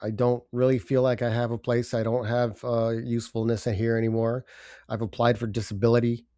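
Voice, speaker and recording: Older male podcast host with a head cold, stuffy and low-key, dark mellow tone, dry close sound. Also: level tone, smooth, no room sound. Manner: halting, subdued, with pauses and fillers. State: congested from a cold. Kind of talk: personal confessional monologue.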